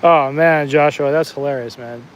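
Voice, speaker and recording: Chatty New Jersey-accented man, slightly high. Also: moderate pace, dull audio, slight background noise. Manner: chatty, answers viewer comments, frequent restarts. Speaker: fishing livestream host. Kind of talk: casual live-stream chat while fishing. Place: outdoors at a fishing spot, walking the bank.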